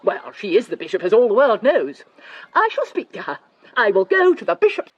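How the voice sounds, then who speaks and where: A poor English clergyman's wife, fierce and full of feeling. Her high voice swoops dramatically in the manner of a period radio play. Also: very animated, brisk, slightly rough, no room sound, dull audio. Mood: bitter, determined. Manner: forceful, emotional, scornful.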